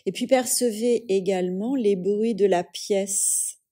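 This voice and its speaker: A woman leading a guided yoga nidra relaxation as a teacher, speaking calmly and soothingly in measured phrases with long pauses. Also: mid-range voice.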